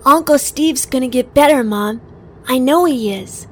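A woman's voice: high-pitched, measured pace, slight background noise. A woman playing an earnest, innocent seven-year-old boy in an audio drama. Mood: confident, sincere. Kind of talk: audio drama acting and first-person narration.

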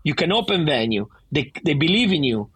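A man's voice: a little high, sing-song, brisk, fairly bright tone, breathy edge, dry recording. A middle-aged man from Italy with a thick Italian accent, a bar owner talking animatedly and emphatically in a casual interview, often restarting his sentences.

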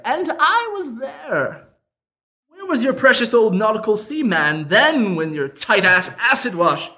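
Casting: performer as clingy salesman boyfriend with a villain streak, bright forceful voice, swooping theatrical delivery, radio comedy. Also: medium pitch. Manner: theatrical, pleading sales patter. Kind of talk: old-time radio style comedy sketch. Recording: live, unedited stage performance.

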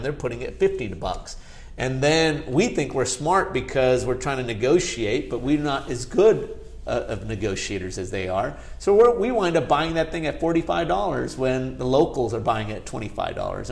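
A man's voice: medium pitch, gravelly. A middle-aged man from India whose first language is Gujarati, a guest in a casual interview, talking in a chatty way with many "you know" asides. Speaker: guest, company founder.

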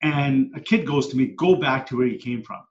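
A middle-aged man, guest on a podcast, talks through his past in an earnest, reflective way. His voice sits at an ordinary pitch. It echoes in a roomy space and comes through dull and lo-fi. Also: moderate pace, darkish tone. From Canada, moved there at ten. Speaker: guest, coach and speaker. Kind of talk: storytelling in a podcast interview. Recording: echoey room, dull lo-fi sound.

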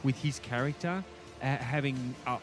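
A man's voice: medium pitch, normal speed, faint music behind. A man co-hosting a casual film review podcast, talking in a thoughtful, rambling, hedging way. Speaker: podcast co-host reviewing films. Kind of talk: casual movie review chat.

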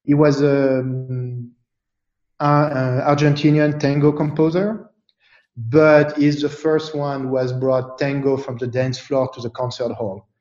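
A man from France, speaking as an interview guest in a thoughtful, halting way with many fillers and restarts. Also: medium pitch, little pitch movement, darkish tone, roomy sound, muffled recording. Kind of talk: casual interview chat.

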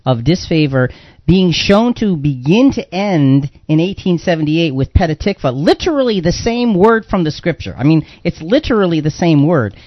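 A man's voice: a little high, very animated, normal speed, no room sound, lo-fi sound. A man hosting a live radio Bible discussion, talking conversationally as he recaps points and puts questions to a guest. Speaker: radio show host. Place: radio studio.

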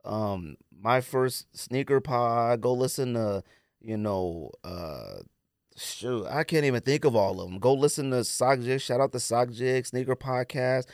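A man's voice: mid-range voice, unhurried, darkish tone, no echo, studio quality. A man co-hosting a podcast, talking casually and giving his opinions in an emphatic, slangy way, often repeating himself for stress. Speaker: podcast co-host.

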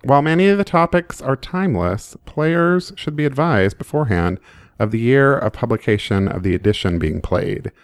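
A man's voice: mid-range voice, animated, normal speed, no room sound. A man co-hosting a board game podcast, teasing his friend and walking through the rules in a playful, chatty way. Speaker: podcast co-host. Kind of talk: casual chat about board games.

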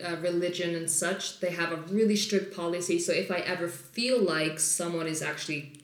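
A woman's voice: on the low side, normal speed. A woman from Iceland who works as a flight attendant, talking casually and reflectively as an interview guest, with many fillers. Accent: non-typical Icelandic English accent. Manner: chatty, filler-heavy, reflective. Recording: echoey room.

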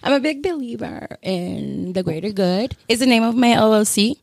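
A woman's voice: fairly high pitch, lively intonation, normal speed, creaky, darkish tone, dry recording, clean studio sound. A woman co-hosting a podcast in casual chat, playful and giggly. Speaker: podcast co-host.

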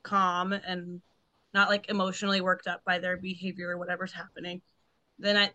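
Female cohost, bright clear tone, earnest and reflective. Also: fairly low pitch, level tone, normal speed. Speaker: teacher, cohost. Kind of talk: casual chat.

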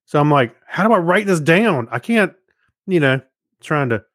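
A man's voice: fairly high pitch, animated, moderate pace, fairly bright tone, no echo, studio quality. A man co-hosting a podcast, chatting casually and voicing his own thoughts as he talks. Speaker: podcast co-host. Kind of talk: casual chat.

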